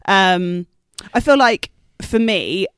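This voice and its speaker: A woman's voice: medium pitch, animated, takes it slowly, a bit bright, clear, resonant tone, no echo, clean studio sound. A woman in her thirties co-hosting a podcast, talking casually about film. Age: 30s. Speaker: podcast co-host.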